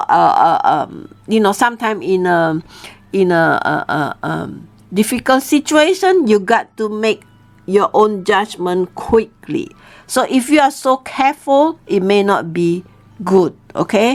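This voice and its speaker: Slow-paced woman teacher. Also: mid-range voice. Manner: didactic, often checks with "okay?". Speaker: storytelling teacher and host. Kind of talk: informal storytelling lesson for learners.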